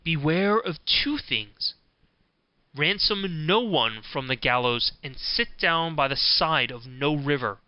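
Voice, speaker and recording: A man voicing a wise, helpful storybook fox who gives measured, instructive counsel. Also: on the high side, lively intonation, clear, resonant tone, no room sound, lo-fi sound. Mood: calmly instructing.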